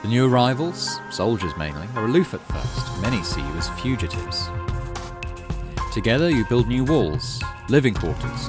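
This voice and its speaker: A man reading a game's story script aloud as expressive narration for a gaming channel. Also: fairly low pitch.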